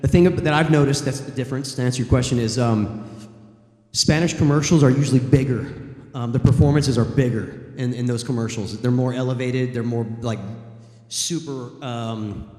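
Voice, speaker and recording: A man's voice: medium pitch, steady tone, moderate pace, darkish tone. A man voice actor on a convention panel, answering questions in a loose, rambling way with many ums and restarts. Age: adult, former college theater student. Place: large convention hall. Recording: echoey convention hall.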